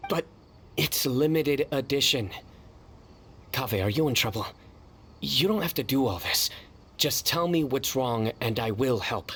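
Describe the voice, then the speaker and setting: A man playing a stern, earnest young officer in an anime video game, speaking in a measured, grandly formal way. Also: mid-range voice, a bit bright, slight background noise. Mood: concerned.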